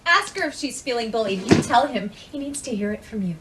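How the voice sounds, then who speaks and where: A woman's voice: fairly high pitch, expressive, brisk, close to an everyday voice. An actress playing a blunt, outspoken woman who challenges others in defence of a friend, in a drama. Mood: indignant. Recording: very noisy, clicks.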